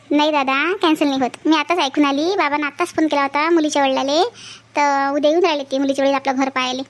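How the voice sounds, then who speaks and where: A woman acting as a young cartoon sister-in-law speaks extremely high and fast, with a Vidarbha Marathi accent. She sounds chatty, earnest and deferential to her in-laws. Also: fairly even intonation, natural voice. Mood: reassuring. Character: gentle young sister-in-law, teasing but easily wronged. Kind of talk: amateur cartoon family drama.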